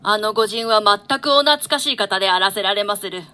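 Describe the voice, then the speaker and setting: A solemn member of the royal court, acted by a woman, speaks in a bright, firm voice. The manner is humble and formal, with old-fashioned courtly phrasing for a classical stage drama. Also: medium pitch, brisk, close to an everyday voice. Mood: respectful, solemn. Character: deferential, solemn court elder and messenger. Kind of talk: classical stage play reading.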